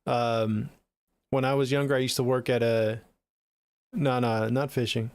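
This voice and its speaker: American guy streamer, middle-aged, creaky voice, laid-back slangy chat, dry close sound. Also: medium pitch, fairly even intonation, measured pace, darkish tone, no echo. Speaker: music production livestreamer. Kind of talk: casual livestream chat. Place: small home studio room, indoors.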